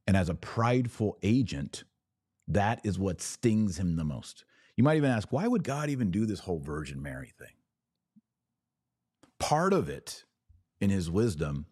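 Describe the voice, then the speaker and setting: A man with a deep, mellow voice hosts a religious podcast. He explains things emphatically and didactically, often posing rhetorical questions as he responds to listeners. The sound is dry and close. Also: breathy edge, no room sound. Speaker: podcast host. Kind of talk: religious commentary answering live viewer comments.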